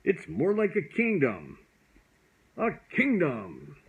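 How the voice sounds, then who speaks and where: A man's voice: on the high side, huge pitch swings, slow, slightly rough, highs cut off, pitched above the performer's own voice, full character voice. A man voicing a cheerful, kindly magic dragon in a bedtime storybook, chatty, playful and grandly whimsical. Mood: playful, inviting.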